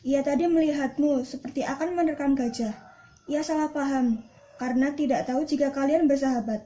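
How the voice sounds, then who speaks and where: A woman's voice: high-pitched, a little fast, dark tone, close to an everyday voice. A young woman voicing a downcast, apologetic duck in a puppet-show fable. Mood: glum. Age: young adult.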